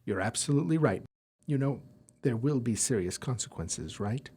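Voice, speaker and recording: A flustered university professor played by a man, earnest and easily shocked. The voice is husky and swings widely in pitch, with dramatic audio drama delivery. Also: animated, normal speed, darkish tone. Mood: subdued, earnest.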